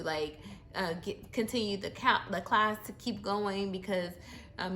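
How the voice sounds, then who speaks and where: A woman voicing her exasperated male high school teacher as he complains about a chatty student, in a story-time video. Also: a bit bright, natural voice.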